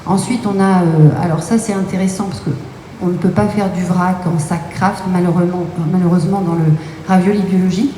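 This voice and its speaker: A woman's voice: on the low side, fairly even intonation, a little fast, mellow. Woman guest speaking in an echoey room. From Brittany, France. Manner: explanatory, many hesitation fillers. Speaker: guest, company co-owner. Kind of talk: radio interview answers. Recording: echoey room with faint noise.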